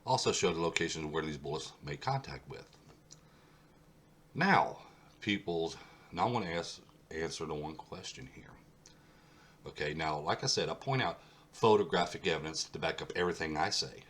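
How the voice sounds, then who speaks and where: A man's voice: extremely low pitch, expressive, normal speed, a bit bright, a little hiss or hum. A congested man hosting his own history video, talking plainly and insisting on his points with repetition. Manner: insistent, repeats points for emphasis. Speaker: host of a history mystery channel. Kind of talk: solo video talk over a map.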